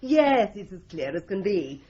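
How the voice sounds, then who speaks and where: An actress playing a sharp, sardonic young female secretary in a radio drama. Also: mid-range voice, big pitch swings. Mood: explaining. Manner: sardonic, brisk. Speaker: office secretary. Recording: dull lo-fi radio play recording.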